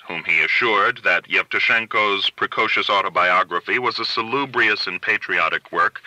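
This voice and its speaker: A man narrates literary fiction with measured, articulate delivery. His voice sits fairly low yet has a very sharp, bright edge, and the sound is dry and close. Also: no echo, poor audio. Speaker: audiobook narrator.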